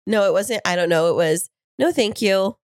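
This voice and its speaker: A woman co-hosting a podcast in casual chat, her voice hoarse and froggy from being sick, telling stories in a teasing, animated way. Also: medium pitch, normal speed, no echo, clean studio sound. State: hoarse from illness. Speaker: podcast co-host. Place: home studio.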